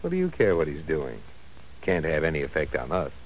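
An actor playing a breezy young husband who talks in an offhand, teasing way, in 1940s radio style. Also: male voice, extremely low pitch, huge pitch swings, brisk, a little hiss or hum, heavily acted, poor audio.

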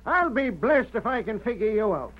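A man's voice: high voice, sing-song, moderate pace. A man playing a blustery, honest Old West sheriff in a radio western, with folksy frontier slang and an exasperated manner. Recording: muffled vintage radio recording.

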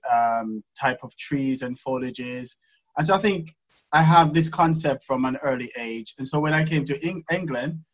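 A man from Jamaica with a Jamaican accent, a guest in an interview who speaks hesitantly with many pauses and laughs easily. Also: medium pitch, low-quality recording. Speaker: guest florist. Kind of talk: casual interview chat.

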